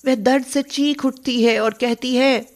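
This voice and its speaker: Slow-paced woman narrating a story. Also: sits high, steady tone.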